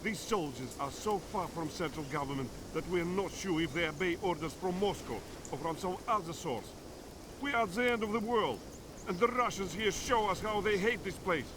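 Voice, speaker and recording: An actor playing a proud, embittered island resistance fighter in a game cutscene, solemn and deliberate. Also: male voice, high voice, expressive, noisy background. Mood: bitter. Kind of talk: video game cutscene voice acting.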